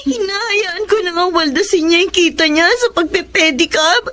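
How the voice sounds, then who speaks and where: A woman's voice: very high-pitched, moderate pace, pushed and bright, slight background noise. An actress playing a betrayed young wife, crying as she speaks, in a radio drama. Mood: tearful.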